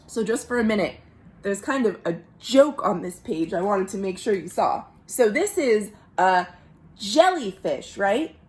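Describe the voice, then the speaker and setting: A woman reading aloud expressively to young children as a librarian, with playful asides and dramatic pauses. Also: medium pitch, very animated. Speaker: children's librarian, storytime reader.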